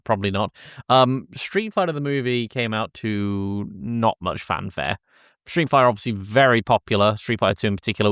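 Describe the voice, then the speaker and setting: A man's voice: on the low side, a bit bright, no room sound, studio quality. A man hosting a casual film discussion podcast, often hesitating and correcting himself as he goes. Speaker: podcast host.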